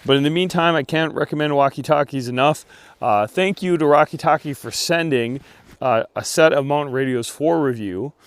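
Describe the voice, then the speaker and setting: A man giving a casual outdoor gear review, speaking in a halting way as he thinks aloud. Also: on the high side, smooth, dry recording.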